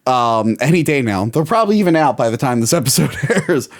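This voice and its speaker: A man co-hosting a casual podcast chat. He talks in an animated way, laughs often and swings his pitch up and down when he acts out quotes. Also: fairly quick. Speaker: podcast co-host. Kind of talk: casual chat about toys and cartoons.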